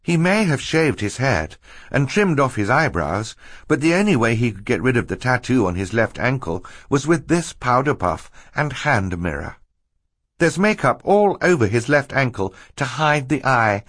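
A man reads as a determined young girl in a storybook, exasperated and emphatic. The pitch is raised and swings about in a lively way, and the recording is dry and close. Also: normal speed, no echo.

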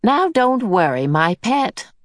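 A woman's voice: medium pitch, big pitch swings, dry recording, clean studio sound, voice pushed up. A woman voicing a cheerful, motherly old otherworldly female being who soothes and fusses. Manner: bright and soothing, brisk.

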